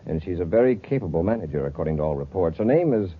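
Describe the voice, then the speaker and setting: A commanding space patrol chief with a low voice and rapid, businesslike delivery. It is classic fifties radio serial style, thin and tinny like an old broadcast. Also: male voice, lively intonation, breathy edge. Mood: brisk, serious. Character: brisk, authoritative space patrol commander, grown man. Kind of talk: 1950s radio adventure acting.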